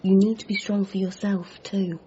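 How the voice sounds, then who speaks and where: An actress playing a caring, practical girlfriend in a radio drama, gentle and reassuring in manner. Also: mid-range voice, flat delivery, moderate pace, highs cut off, a little hiss or hum, natural voice. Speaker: supporting character.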